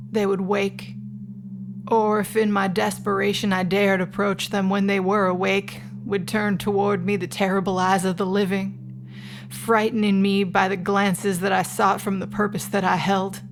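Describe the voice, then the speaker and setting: Over a noisy ambient background comes a woman playing the ghost of a murdered wife, full of sorrow. She speaks at a middle pitch in solemn, measured, formal phrases, as in a gothic literary audio drama. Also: level tone, natural voice. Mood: wistful, haunted.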